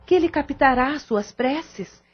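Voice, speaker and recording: A woman playing an earnest, caring sister-in-law who gives reassuring, measured counsel in an audio drama. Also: high voice, animated. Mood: earnest, advising. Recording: muffled lo-fi audio with music.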